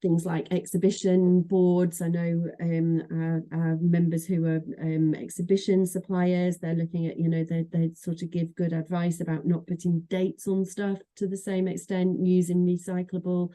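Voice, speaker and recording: Dark-voiced Mancunian woman. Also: lowish voice, monotone, moderate pace, hardly any pauses. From Manchester, England. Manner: chatty, many fillers and restarts. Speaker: association executive director, guest. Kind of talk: podcast interview.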